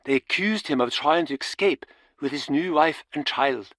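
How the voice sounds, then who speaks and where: A man acting as a storyteller, solemnly recounting an old town legend in a measured, dramatic way for a game. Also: fairly high pitch, a bit bright, no room sound, close to an everyday voice.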